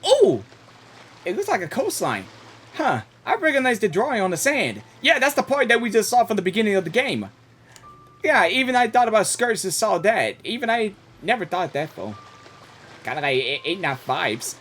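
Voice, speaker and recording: A woman gaming streamer giving casual live reactions in an informal, halting, exclamatory way. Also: mid-range voice, sing-song, fairly bright tone, faint music behind.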